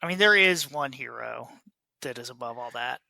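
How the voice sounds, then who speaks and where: A man's voice: medium pitch, lively intonation, normal speed, gravelly, pushed and bright. A man co-hosting a podcast, chatting casually with a wry, joking manner. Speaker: podcast co-host. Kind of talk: casual book club discussion.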